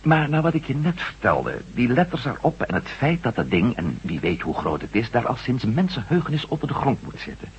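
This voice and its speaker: An actor playing a cautious, skeptical former astronaut turned reporter in a radio drama, earnest and insistent. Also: male voice, mid-range voice, very animated, brisk, few pauses, a little hiss or hum, natural voice. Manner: hesitant, doubting, then emphatic. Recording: dull lo-fi old radio recording.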